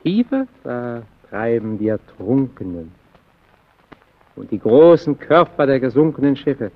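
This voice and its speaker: An actor in a German radio play playing a solitary lighthouse keeper haunted by the sea, speaking haltingly and intensely, swelling and sinking to a near murmur. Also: male voice, medium pitch, big pitch swings, phone-quality sound. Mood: brooding, resigned.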